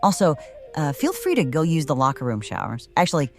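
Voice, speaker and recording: Low-voiced, swooping, taunting lady crime boss. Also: very animated, a little fast, soft background music. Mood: mocking, condescending. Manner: taunting, theatrical, hums while eating. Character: smirking, cruel wrestling boss woman with a mocking temper. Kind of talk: noir audio drama acting.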